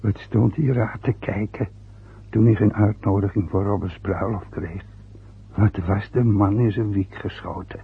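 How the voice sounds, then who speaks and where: Radio drama: a pompous old dad, raspy and grumbling, full of his own importance, on a muffled old recording. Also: fairly low pitch, fairly even intonation, measured pace, heavily acted. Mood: gloating. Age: older. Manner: boastful, grumbling, self-important. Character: aggrieved, self-important, boastful older father, former ambulance driver. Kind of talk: radio drama acting, long rambling monologues.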